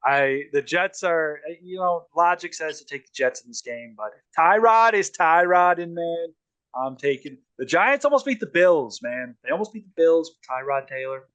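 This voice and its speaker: A man's voice: a little high, lively intonation, normal speed, fairly bright tone, no room sound. A man hosting a casual sports podcast, talking loosely with lots of ums and restarts. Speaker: podcast host.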